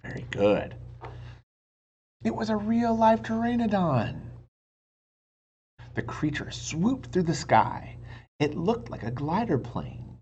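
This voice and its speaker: A man reads a story aloud to children like a teacher. His delivery is slow and hugely animated, stretching words and sinking into hushed tones. The recording is a little dull, with faint noise behind him. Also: high-pitched. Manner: dramatic, stretches words, drops to hushed whispers. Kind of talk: expressive children's book read-aloud with asides to listeners.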